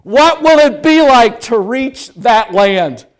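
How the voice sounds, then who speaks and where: A male pastor preaching a sermon, telling a story in the second person and swelling from hushed passages to loud ones. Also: very high-pitched, expressive, takes it slowly.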